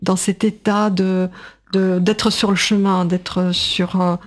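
An older woman, a writer and translator whose first language is not French, answering interview questions thoughtfully with many pauses and fillers. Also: mid-range voice, little pitch movement.

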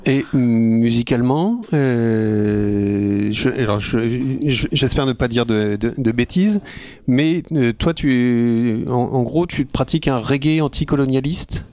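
A man interviewing a musician, asking questions haltingly and repeating words. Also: mid-range voice, a little mellow. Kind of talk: casual interview.

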